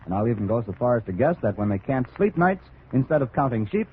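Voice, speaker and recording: Tinny 1940s American radio announcer man. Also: medium pitch. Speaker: commercial announcer. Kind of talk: 1940s radio sponsor message. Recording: tinny, noisy old radio recording.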